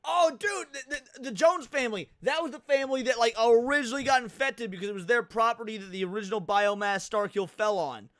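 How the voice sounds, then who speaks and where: Male streamer, young, American, high voice, dry close sound, excitable and foul-mouthed in casual reactions. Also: fairly quick, few pauses, no echo. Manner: slangy, profane, excitable. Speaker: livestream host reacting to a video. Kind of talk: casual livestream commentary.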